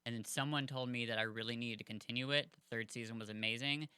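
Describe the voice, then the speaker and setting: A man's voice: mid-range voice, fairly even intonation, fast talker, bright, forceful tone, clear, resonant tone, no echo. A man co-hosting a podcast, chatting casually and rambling with lots of fillers. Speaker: podcast co-host.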